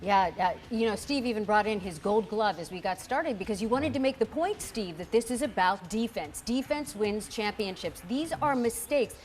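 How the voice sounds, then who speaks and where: A woman's voice: mid-range voice, lively intonation, moderate pace, few pauses, slight background noise. A woman hosting a live sports panel discussion, steering the conversation between analysts. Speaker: sports show host.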